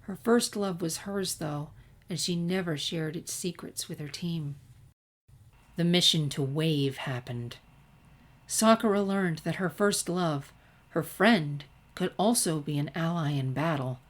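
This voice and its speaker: A woman's voice: low-pitched, a little slow. A woman narrating a written story as a fan fiction reader.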